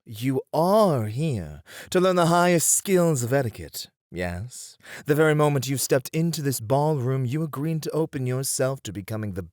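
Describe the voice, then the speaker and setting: Courtly, theatrically expressive male etiquette tutor, played by a man. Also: mid-range voice, normal speed, hardly any pauses, no room sound, clean studio sound, close to an everyday voice. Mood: courtly, welcoming. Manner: formal, theatrical, encouraging, elaborately polite. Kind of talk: ASMR roleplay acting addressed to the listener.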